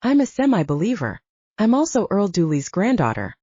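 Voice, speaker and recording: A woman narrator plays a spirited young heroine in an audiobook romance. She is frank and teasing, with an animated melody and a slightly husky edge. The sound is a little dull. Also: medium pitch, fairly quick, dry recording. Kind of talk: audiobook character dialogue.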